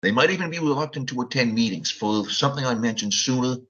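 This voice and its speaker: A man's voice: medium pitch, expressive, fairly bright tone, breathy edge. A man explaining his point steadily on a panel discussion. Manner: measured, explanatory. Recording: dull lo-fi audio.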